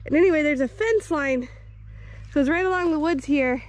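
Young woman w a high voice, walking and chatting to her phone cam outside by the woods. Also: moderate pace. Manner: chatty, easygoing, explaining as she walks. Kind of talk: casual vlog narration. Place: outdoors by woods and fields. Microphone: handheld camera at arm's length.

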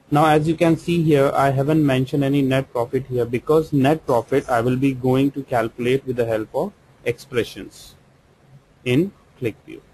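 Slow, methodical Indian English male trainer. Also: medium pitch, darkish tone, rough recording. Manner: slow, step-by-step explaining with fillers. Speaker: software trainer. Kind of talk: screen-recorded software tutorial. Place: voice-over for screen recording.

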